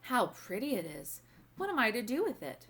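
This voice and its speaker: A woman's voice: fairly high pitch, big pitch swings, normal speed, fairly bright tone, voice pushed up. A woman voicing a sweet, curious and polite little princess in a storybook read-aloud, exclaiming and asking wide-eyed questions. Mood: delighted, curious.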